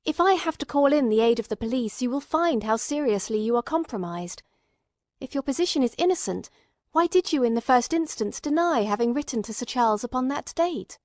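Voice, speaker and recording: A woman voicing a persistent gentleman investigator in an audiobook, questioning formally, courteously and insistently. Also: high-pitched, expressive, brisk, dry recording, clean studio sound, pitched above the performer's own voice. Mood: stern, insistent. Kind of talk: dramatic audiobook character reading.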